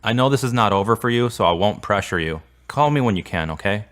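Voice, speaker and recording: Radio drama friend, played by a man: low-pitched, sincere, devout, quietly concerned. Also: animated, fast talker. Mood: gentle.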